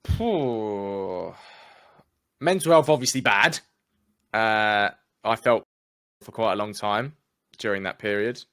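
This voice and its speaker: A young man with an English accent talks candidly and casually, dropping slang now and then. His voice is smooth and fairly low, recorded close and dry with no room sound. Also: slow, pauses often, fairly bright tone, dry recording. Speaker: guest. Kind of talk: casual conversation.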